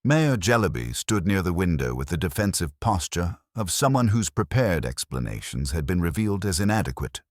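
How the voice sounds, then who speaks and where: A man narrating a scripted mystery story in a formal, measured way, like an audiobook. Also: sits low, dry recording, clean studio sound.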